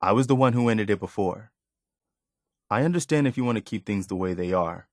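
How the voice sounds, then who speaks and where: An actor playing an earnest, loyal, plain-spoken militia man in a video game, sincere and measured. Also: fairly low pitch, no echo, clean studio sound, close to an everyday voice. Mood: hesitant, vulnerable. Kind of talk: naturalistic video game dialogue.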